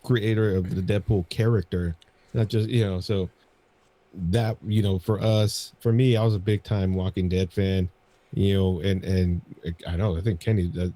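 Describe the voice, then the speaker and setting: A man's voice: deep voice, fairly even intonation, moderate pace, warm tone. A man co-hosting a podcast, telling anecdotes in a casual, rambling way and often repeating his phrases. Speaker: podcast co-host.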